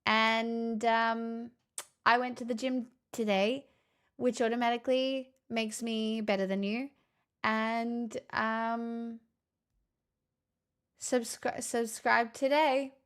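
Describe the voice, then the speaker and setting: A woman's voice: a little high, steady tone, slow, smooth. A woman livestreamer chatting casually with her viewers, playful, teasing and self-mocking. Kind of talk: casual stream chat with viewers.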